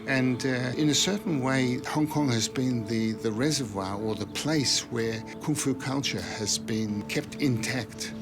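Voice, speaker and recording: A man in an interview, speaking hesitantly with pauses and fillers. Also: medium pitch, music in the background.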